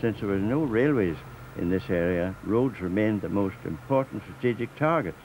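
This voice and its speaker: An older man from Northern Ireland narrates in a measured, steady way, his voice pitched a little low. There's a faint hum of ambience underneath him. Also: rough recording. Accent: Northern Irish. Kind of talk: scripted documentary narration.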